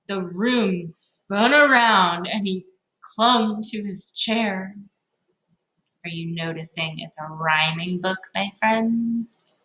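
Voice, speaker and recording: A woman's voice: medium pitch, sharp tone. A woman reading a children's story aloud in a dramatic, playful way, with chatty asides to her listeners. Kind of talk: expressive picture-book reading with chatty asides. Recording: roomy, echoing sound.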